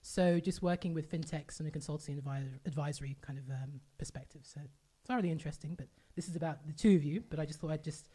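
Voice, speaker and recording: Woman host, deep voice, brisk pace, enthusiastic and chatty. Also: mellow, breathy edge. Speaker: podcast host. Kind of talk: casual podcast conversation.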